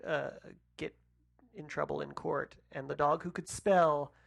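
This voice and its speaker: Creaky-voiced woman chatting casually. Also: deep voice, steady tone, a little slow. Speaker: podcast co-host. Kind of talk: casual chat.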